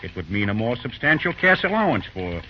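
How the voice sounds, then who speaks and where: A man's voice: medium pitch, very animated, brisk, close to an everyday voice. An actor playing a pompous, shrewd middle-aged businessman in old radio drama style, hemming and patronizing. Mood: scolding, persuasive. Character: pompous older businessman father, shrewd and genial. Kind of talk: 1940s radio acting. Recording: muffled, noisy vintage radio recording.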